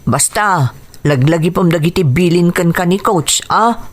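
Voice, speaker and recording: A woman's voice: on the low side, measured pace. A woman acting in an Ilocano radio drama as a boxer's anxious, supportive young friend. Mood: concerned, supportive.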